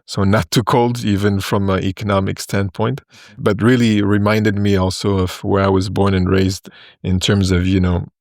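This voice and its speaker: A man in his forties from Ethiopia, a consultant guest explaining his work in a podcast interview, in short measured phrases with fillers. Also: fairly low pitch, little pitch movement, a little mellow, no echo, clean studio sound.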